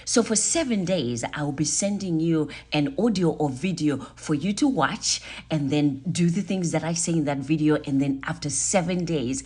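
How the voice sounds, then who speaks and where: A woman's voice: low voice, normal speed, few pauses, darkish tone, husky. A woman hosting an upbeat podcast interview show, chatty and enthusiastic, speaking straight to her listeners. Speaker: show host and conference organiser.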